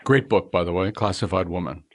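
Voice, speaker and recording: A man's voice: low voice, fairly even intonation, brisk. A man hosting an interview, asking questions with friendly asides. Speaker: interviewer, host.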